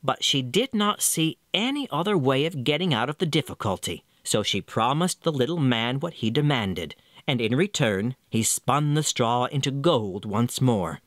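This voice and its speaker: Guy narrating a fairy tale, super expressive, pitch moving all over, dry close sound. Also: normal speed, no room sound. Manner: theatrical, expressive. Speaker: storyteller.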